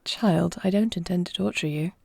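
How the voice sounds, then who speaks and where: Woman as regal warrior princess, husky, dark-toned, formal, solemn, earnest, close dry audio roleplay. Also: lowish voice, dry recording. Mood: gentle, probing. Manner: formal, solemn, deliberate phrasing. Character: young adopted princess and war leader, earnest, measured, regal. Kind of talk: scripted audio roleplay acting.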